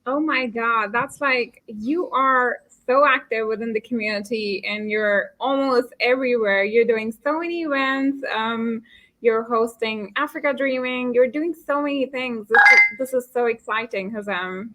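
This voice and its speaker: A woman's voice: on the high side, a little slow, pushed and bright, poor audio. A woman hosting a live online career series, upbeat and dropping frequent "um" fillers, speaking over a video call. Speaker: host.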